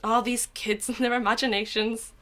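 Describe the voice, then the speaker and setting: A woman's voice: on the high side, flat delivery, moderate pace, fairly bright tone, close to an everyday voice. A woman playing a breezy, gossipy friend in a radio drama. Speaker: actor in a student radio drama.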